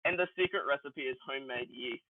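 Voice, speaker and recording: A man's voice: mid-range voice, fast talker, dry recording. A young man bantering casually as a podcast co-host, joking and swearing freely over an online call. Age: young adult. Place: remote group online call. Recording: thin phone-like call audio.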